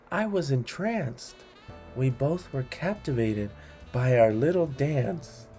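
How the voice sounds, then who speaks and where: A man reading funny children's rhymes aloud in a deliberate, theatrical storytelling way. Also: mid-range voice, mellow. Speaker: read-along host.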